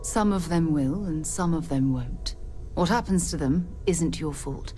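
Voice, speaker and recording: A sincere, reassuring crewmate in a video game, low and mellow as she speaks gently. Soft game music sits behind her. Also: measured pace. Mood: gentle. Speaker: game companion character.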